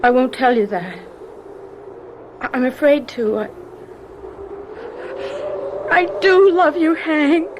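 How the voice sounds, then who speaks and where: An actress playing an anguished, apologetic young woman, a professor's daughter, in a radio drama, speaking in a halting, pleading way. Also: high-pitched, animated. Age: young adult. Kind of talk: American radio drama acting. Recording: radio drama with clear background noise.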